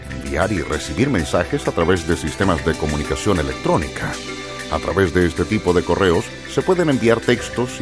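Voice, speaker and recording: A man with a Chilean accent reading scripted educational narration. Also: sits low.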